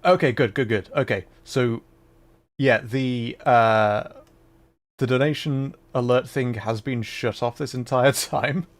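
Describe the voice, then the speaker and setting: A man streams a video game and chats casually as he goes. His voice sits at an ordinary middle pitch, and his manner is self-deprecating and apologetic. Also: slow pace. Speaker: livestreamer, VTuber. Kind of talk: casual livestream chat while playing a game.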